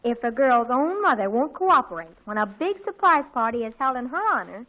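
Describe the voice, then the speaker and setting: A woman playing an excitable, bossy teenage girl in a 1940s radio comedy, dramatic and wheedling. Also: on the high side, brisk. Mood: excited, bossy. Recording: muffled 1940s radio recording.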